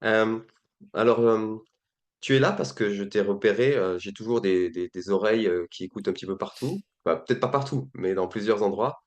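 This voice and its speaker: Hesitant low-voiced man hosting. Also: normal speed. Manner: hesitant, frequent fillers and restarts. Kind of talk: faith-based interview.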